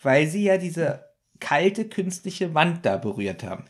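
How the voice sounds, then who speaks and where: A man with a somewhat high voice on a casual podcast. He pokes at the story with skeptical questions and chats loosely. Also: very animated, unhurried. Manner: questioning, skeptical, laughs often. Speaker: podcast co-host. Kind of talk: casual chat reviewing a radio play.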